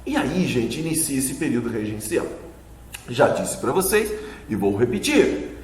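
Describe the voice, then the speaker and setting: A man's voice: mid-range voice, sing-song, moderate pace. A man from Brazil with a Brazilian Portuguese accent, teaching a casual video lesson in a chatty way that keeps checking in with the class. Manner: chatty, asks questions back, frequent "né?" tags. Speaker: history teacher. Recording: echoey room with long reverb.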